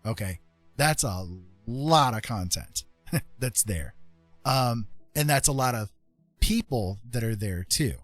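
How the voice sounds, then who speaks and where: A man's voice: medium pitch, animated, slow pace, no echo. A man chatting casually as a livestream host, explaining things in a rambling, emphatic way and repeating phrases for stress. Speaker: streamer host. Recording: music playing behind the voice. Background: music.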